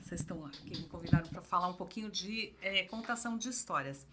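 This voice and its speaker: A woman's voice: lowish voice, fast talker, background noise. An older woman, a retired storyteller, chatting as a podcast guest and repeating key phrases for emphasis. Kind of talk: casual interview chat.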